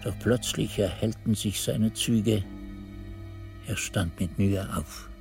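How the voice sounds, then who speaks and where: An actor playing a monk chronicler, narrating a radio drama in solemn, descriptive literary prose. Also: male voice, on the low side, takes it slowly, creaky, a little mellow, soft background music. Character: monk chronicler recalling events in solemn detail.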